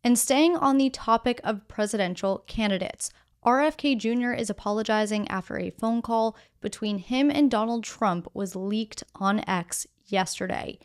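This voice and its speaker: A woman hosting a news podcast, reading scripted stories in a measured, explanatory way with casual asides. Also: fairly high pitch.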